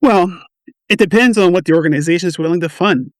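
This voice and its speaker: A man plays a stressed quality assurance manager in an office radio drama. His voice sits on the high side, with a defensive, wry and touchy manner. The recording is dry. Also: quick pace, dry recording, natural voice. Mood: wary. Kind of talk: amateur radio play dialogue.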